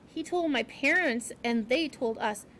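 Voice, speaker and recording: A timid young female mouse from a children's storybook, voiced by a woman reading aloud. She sounds naive and easily flustered, speaking hesitantly in a high, bright voice. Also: a little slow. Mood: earnest, puzzled. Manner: hesitant, stammering, earnest.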